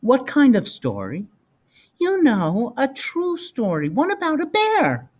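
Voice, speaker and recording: A man's voice: extremely high pitch, wildly swooping pitch, slow, pitched above the performer's own voice, exaggerated voice. A man voicing a curious, blunt little boy with an inquisitive, childlike way of talking in a storybook. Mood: curious, pleading. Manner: inquisitive, childlike, plain-spoken.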